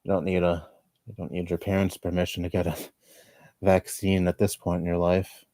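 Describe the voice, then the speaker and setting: A man in his twenties chatting casually on a livestream at home, rambling and laughing softly between thoughts. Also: low-pitched, flat delivery, normal speed, dry recording. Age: 20s. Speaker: livestreamer reading chat. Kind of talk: casual chat.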